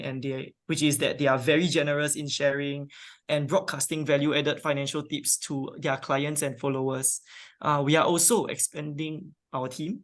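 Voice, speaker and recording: A man hosts a finance webinar, his voice pitched a little high for a man. He moderates in a friendly way and fills his pauses with "um" and "uh." He is heard over a video call. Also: fairly bright tone. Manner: friendly, filler-heavy moderating. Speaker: webinar host. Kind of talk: hosting a webinar.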